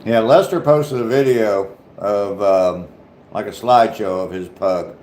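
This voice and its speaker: A man from Ohio with a mild southern Ohio accent and a deep voice, chatting casually on his livestream and answering viewers' comments. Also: measured pace, darkish tone, roomy sound, a little hiss or hum. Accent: southern Ohio, slight Appalachian touch. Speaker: livestream host.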